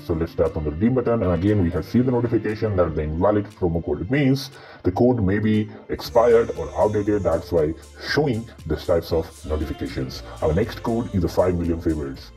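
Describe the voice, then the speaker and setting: Man, monster voice filter, dark mellow tone, spells codes out, faint guitar behind. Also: rough recording. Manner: spells codes letter by letter, repeats "my friends". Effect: pitched-down monster voice filter. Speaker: gaming channel host. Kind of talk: mobile game code tutorial. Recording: voice-over with faint guitar music.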